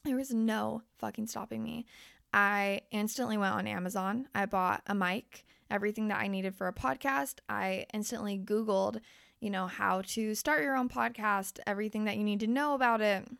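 A woman's voice: medium pitch, fairly even intonation, normal speed, gravelly, a bit bright, no room sound, studio quality. A young woman podcast host and life coach who is losing her voice. She talks candidly and swears freely as she speaks straight to her listeners. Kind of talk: casual motivational podcast monologue.

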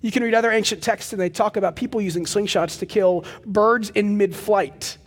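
A young man preaching a sermon as pastor of a church, with a loud, projecting voice and animated storytelling asides. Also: sits high, brisk, big-room sound. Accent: Texan. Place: large church hall with live audience.

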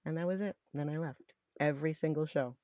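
A middle-aged woman with a deep voice for a woman, fondly and enthusiastically reminiscing in an interview. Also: fairly quick, dark tone, no room sound, clean studio sound. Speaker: interviewee, former college radio jazz announcer. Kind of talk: reminiscing in an oral history interview.

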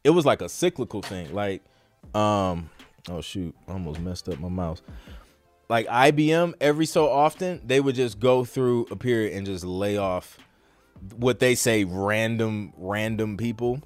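A man's voice: on the low side, expressive, a little slow, dry recording, faint music behind. An American man hosting a podcast, chatting casually through a live Q&A in an informal, slangy, rambling way. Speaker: podcast host.

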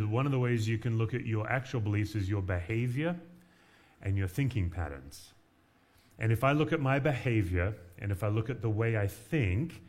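A man from Western Australia preaching as a guest pastor, conversational with light humor and frequent fillers. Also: mid-range voice. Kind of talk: sermon.

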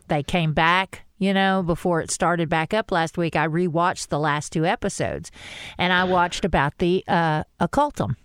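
A woman's voice: fairly low pitch, clear, resonant tone, no echo, studio quality. A woman co-hosting a podcast, chatting casually and animatedly about a TV episode. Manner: chatty, animated storytelling with rising exclamations. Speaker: podcast co-host. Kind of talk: casual fan discussion chat.

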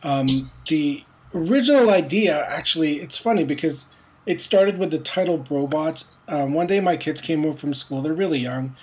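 A man's voice: on the high side, moderate pace, darkish tone, rough recording. A man from the Philippines, raised in Montreal, talking loosely about his comic in an interview, with many fillers and restarts. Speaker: comic book writer. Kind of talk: casual interview.

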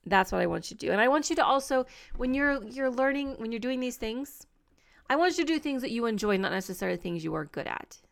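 A woman's voice: on the high side, animated, fast talker, gravelly. A woman hosting a solo podcast, talking to listeners in an earnest, upbeat, encouraging way, with plenty of fillers. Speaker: podcast host.

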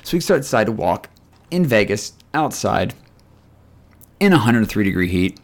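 Man, deep and scratchy-voiced, casual rambling co-host type. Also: big pitch swings, moderate pace. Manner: rambling, chatty storytelling. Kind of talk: casual chat.

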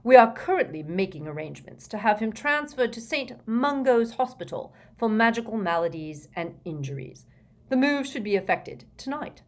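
A woman's voice: fairly high pitch, big pitch swings, voice pushed up. Woman voicing a fretful, blustering older government wizard. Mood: grave, urgent. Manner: fretful, hurried, emphatic.

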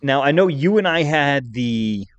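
Man, podcast co-host, casual chat, talkative and rambling, mid-pitched voice, dry close sound. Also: lively intonation, unhurried, dry recording, muffled recording.

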